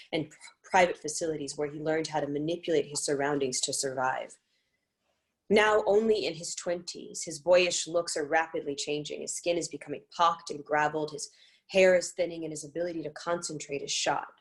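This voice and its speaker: A woman's voice: low-pitched, vocal fry. A woman reading scripted narration for a play, introducing characters as the narrator.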